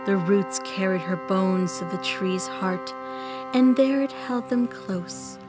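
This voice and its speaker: A woman playing a mysterious storyteller who tells eerie tales to children in a theatrical, hushed, suspenseful way in an audio play. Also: medium pitch, slow pace, over music, close to an everyday voice. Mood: hushed, ominous.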